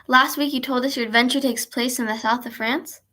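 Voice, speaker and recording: A woman's voice: a little high, fairly even intonation, brisk, pushed and bright. A woman playing an eager, chatty announcer in an old-time radio drama, hosting and prompting the storyteller. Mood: curious, attentive. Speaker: announcer and host.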